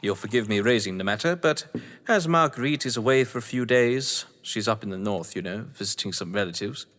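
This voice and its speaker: An actor playing a suave, sardonic upper-class English novelist, wordy and condescending, in a theatrical audio drama. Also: male voice, lowish voice, fairly quick, a bit bright, lightly acted. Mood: smooth, probing. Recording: echoey hall-like reverb.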